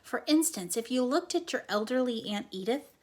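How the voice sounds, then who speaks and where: A woman reading a children's book aloud as narrator, expressively and with a slightly husky, breathy voice, explaining things to young listeners. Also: a little high, animated, moderate pace. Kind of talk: children's storybook reading with brief asides.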